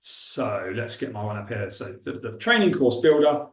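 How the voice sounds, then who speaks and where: A man's voice: medium pitch, very animated, moderate pace, far from the mic. A man with a Milton Keynes accent giving a casual tutorial, chatty and thinking aloud as he clicks through a demo. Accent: Milton Keynes English. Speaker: recruitment trainer. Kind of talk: casual screen-share tutorial. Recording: roomy echo, speaker away from the mic.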